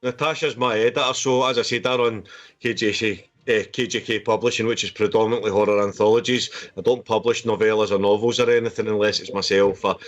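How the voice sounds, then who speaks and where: Low-voiced Scottish man, rambling. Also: steady tone, fast, no room sound, dull audio. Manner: rambling, repeats stock phrases. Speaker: indie horror author and anthology publisher. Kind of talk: casual panel chat. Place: remote group video chat.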